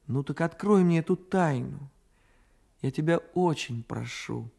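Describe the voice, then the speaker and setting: A man's voice: a little high, expressive, a little slow, vocal fry, dark tone, exaggerated voice. A man voicing a curious, coquettish young girl with a timid, coaxing way of speaking in a radio story reading.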